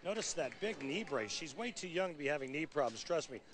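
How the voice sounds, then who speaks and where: A man's voice: fairly high pitch, lively intonation, brisk. A middle-aged American man giving analytical bowling commentary in an explanatory, conversational way. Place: bowling centre during televised championship. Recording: broadcast over loud venue noise. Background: loud bowling venue ambience.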